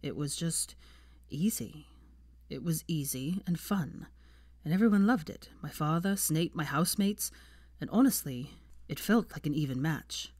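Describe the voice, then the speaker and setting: A woman's voice: low voice, animated, unhurried, darkish tone, dry recording, clean studio sound. A woman reading the part of a bitter, defensive seventeen-year-old wizard boy with a cold temper in an audiobook story. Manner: profane, bitter, arguing in long bursts. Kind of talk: fan fiction audiobook character dialogue.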